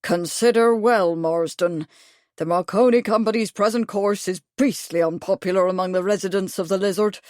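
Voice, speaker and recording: A woman's voice: mid-range voice, fairly even intonation, normal speed, a little mellow, dry recording, clean studio sound, close to an everyday voice. A woman voicing a fussy, pompous older male retired major who talks at length. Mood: indignant. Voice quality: pompous, fussy, long-winded.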